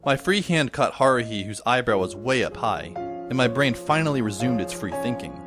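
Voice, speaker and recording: A man's voice: medium pitch, lively intonation, normal speed, lightly acted. A man playing a sardonic, exasperated teenage schoolboy who narrates and acts his own story in an amateur audio drama, wordy and dry. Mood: wry narration. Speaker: narrator and lead character. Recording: faint music behind the voice. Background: faint music.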